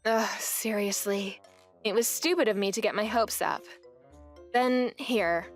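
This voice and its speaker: A woman's voice: mid-range voice, a little slow, faint music behind. A young woman narrating her own story as a wife in a manga dub, speaking in an earnest, weary way.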